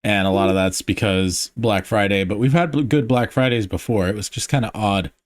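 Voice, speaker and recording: A man's voice: lowish voice, a little fast, no room sound, clean studio sound. A man hosting a casual podcast conversation, talking hesitantly with many fillers and restarts. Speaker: podcast host. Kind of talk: casual group chat.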